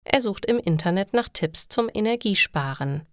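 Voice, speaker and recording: A woman narrating a scripted story for language learners, reading clearly and carefully. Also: medium pitch, lively intonation, normal speed, dry recording, clean studio sound.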